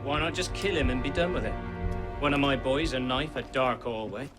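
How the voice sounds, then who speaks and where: Ruthless henchman captain, male actor: mid-pitched, blunt, flippant, video game villain over loud music. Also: a little fast, lightly acted. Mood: callous, impatient. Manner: casual, blunt. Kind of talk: video game cutscene acting.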